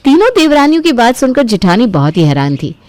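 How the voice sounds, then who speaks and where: A woman's voice: a little high, big pitch swings, fast, a little mellow. A woman giving scripted narration for a family story.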